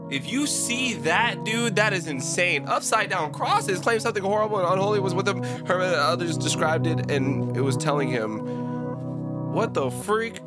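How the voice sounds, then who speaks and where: A young American man doing a casual reaction, reading text aloud haltingly with slangy asides. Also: mid-range voice. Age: young adult. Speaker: reaction video creator. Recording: prominent background guitar music. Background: prominent instrumental music with guitar.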